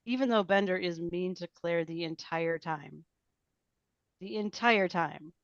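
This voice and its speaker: Lowish-voiced woman on a dull mic. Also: measured pace, no room sound. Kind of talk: casual group discussion. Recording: dull lo-fi microphone, dry.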